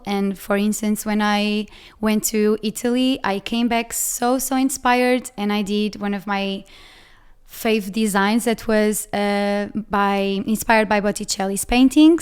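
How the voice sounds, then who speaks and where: Young woman with a Portuguese accent, casual podcast host, crisp voice pitched a touch high. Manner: chatty, many "like" fillers. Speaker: podcast host and ceramic artist. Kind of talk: casual Q&A chat.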